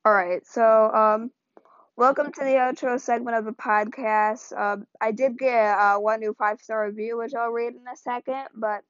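A woman's voice: on the high side, steady tone, moderate pace, dry recording. A woman hosting a podcast in casual, rambling talk, her voice tired and strained. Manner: rambling, loose and chatty. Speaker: podcast host. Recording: dull lo-fi, dry sound.

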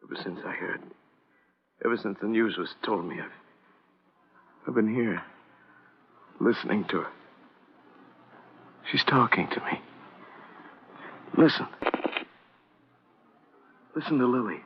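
An actor playing an anguished, obsessed theater director in grief, in melodramatic old-time radio style. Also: male voice, fairly low pitch, expressive, unhurried, pauses often, breathy edge, full character voice. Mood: haunted, distraught. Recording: noisy, muffled vintage radio recording.

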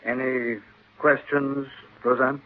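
A man's voice: mid-range voice, level tone, slow, lightly acted. An actor playing a formal, soothing, evasive male lawyer in old-time radio drama. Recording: tinny narrow-band vintage radio recording.

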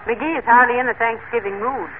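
An actress playing a patient, gently chiding wife with an American accent, polite and teasing, in 1940s radio comedy style. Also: female voice, a little high, fairly quick, natural voice. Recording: noisy, tinny old radio broadcast.

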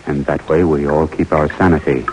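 Very low-voiced, earnest American lieutenant. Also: male voice, fairly even intonation, darkish tone, a little hiss or hum, poor audio. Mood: weary, reflective. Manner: halting, intimate, reflective. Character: earnest, weary imprisoned American army lieutenant. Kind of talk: 1940s radio drama acting, letter narration. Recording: vintage radio broadcast with music.

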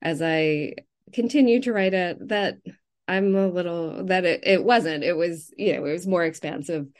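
A woman speaking as an interviewed novelist, reflecting casually and circling haltingly around her thoughts. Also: fairly low pitch, darkish tone.

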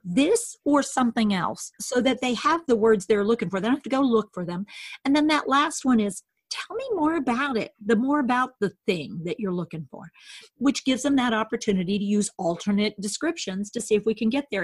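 A woman educator explains in a lively, casual way, playing out both sides of a conversation as she talks. Her voice sits fairly high and has a husky edge. The recording is dry and close, with no room sound. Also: no room sound. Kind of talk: casual teaching chat.

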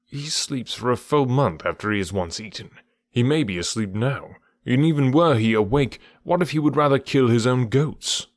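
A man's voice: mid-range voice, expressive, moderate pace, no room sound, clean studio sound, natural voice. A man doing a stern scornful panther.

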